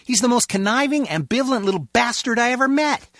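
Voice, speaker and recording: A gabby video game henchman, petty and full of opinions. His voice swings up and down with a bright edge. He talks in colloquial wise-guy banter, recorded close and dry. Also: very high-pitched, expressive, fast talker, no echo. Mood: griping. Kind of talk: comic video game dialogue.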